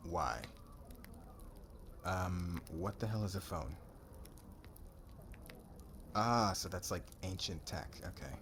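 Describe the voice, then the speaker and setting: A male actor plays a cocky, guarded young warrior in an ASMR roleplay. His voice is low and unhurried, moving freely up and down as he talks in brash slang. Clear ambient noise sits behind him. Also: expressive, fairly bright tone, full character voice. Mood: amused, casual.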